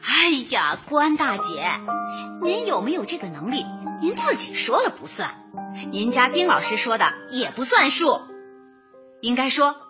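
High-pitched, eager young community director. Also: female voice, sing-song, unhurried. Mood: rousing, persuasive. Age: young adult. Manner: eager, coaxing, speechifying in slogans. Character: earnest, persuasive young community director, cheerful and tactful. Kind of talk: radio drama acting, light comedy. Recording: dull lo-fi audio with music behind.

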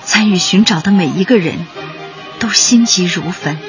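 An actress playing an earnest young community worker, narrating a radio drama in a solemn, heartfelt, literary way. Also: female voice, fairly low pitch, moderate pace, close to an everyday voice. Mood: grave, urgent. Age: young adult. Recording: dull band-limited sound under loud music.

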